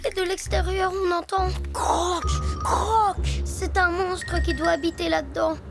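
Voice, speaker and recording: An excitable little cartoon animal, speaks in an extremely high, childlike voice. The delivery is slow and full of exclamation. Loud music plays underneath. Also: gravelly, heavily acted. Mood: alarmed.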